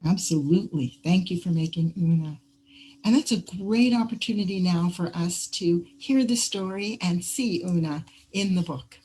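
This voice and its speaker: A woman's voice: on the low side, normal speed, warm tone, low-quality recording. A woman hosting an interview, speaking politely to her guest. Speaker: interviewer and host.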